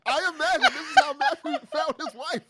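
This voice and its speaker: A woman's voice: very high-pitched, wildly swooping pitch, fairly quick, very noisy. A woman co-hosting a podcast after-show with slangy, teasing, self-deprecating banter. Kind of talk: casual joking banter.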